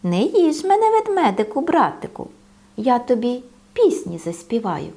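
A woman's voice: on the high side, huge pitch swings, moderate pace, warm tone. A woman voicing a cheeky little runaway bread roll in a childlike, sing-song chant for a children's fairy tale. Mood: pleading, coaxing.

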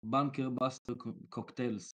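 A man joining a live stream by call, speaking haltingly and searching for words. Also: mid-range voice, flat delivery, fairly bright tone. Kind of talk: casual live stream chat.

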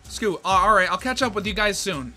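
A brash tomboy filly from a pony comic, voiced by a man with a high, very bright tone. She rushes ahead with eager, reckless plans. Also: expressive, soft background music, full character voice.

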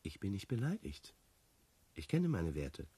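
An actor playing an evasive bridegroom with dry, laconic humour in a radio play. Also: male voice, deep voice, wildly swooping pitch, unhurried, dark tone, breathy edge, heavily acted. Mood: dry, subdued. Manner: laconic, evasive, dryly self-mocking. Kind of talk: German radio play acting.

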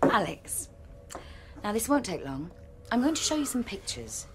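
A woman playing a brisk, coolly authoritative research doctor in a radio drama, speaking with clinical precision. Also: mid-range voice, very animated, noisy background. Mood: testing, controlled.

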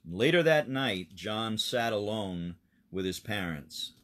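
A man narrating a children's story in an expressive, dramatic storytelling manner. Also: fairly low pitch, slow. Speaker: pastor reading a children's story.

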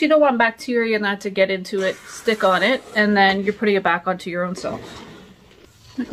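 A woman in her thirties chatting casually as a nail vlogger, rambling and questioning herself as she thinks aloud. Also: medium pitch. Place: home nail studio room. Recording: clear background noise with clicks.

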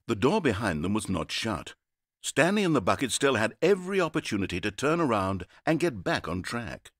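A man's voice: on the low side, breathy edge, dry recording, heavily acted. A man voicing a wry, sardonic male narrator in a video game, telling the story in a theatrical, dry storybook manner. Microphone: close studio mic.

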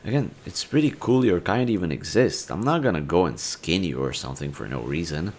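A man playing a kind, bashful young man in an audio roleplay, talking to the listener in a casual, gentle and hesitant way. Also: low voice, animated, brisk, a little hiss or hum. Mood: earnest, friendly.

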